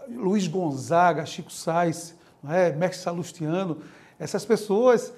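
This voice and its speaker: A man from Pernambuco with a Pernambuco accent talks through his answers as an interview guest. His voice sits high, and he lays out his points eagerly, with an occasional laugh. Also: slow. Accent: Pernambuco Portuguese. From Pernambuco, Brazil. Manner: animated, laughs while making points. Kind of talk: explanatory talk-show interview.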